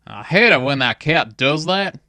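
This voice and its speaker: A woman voicing an excitable, blunt young man who yelps and exclaims. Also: deep voice, normal speed, dry recording. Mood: agitated. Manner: yelping, blunt.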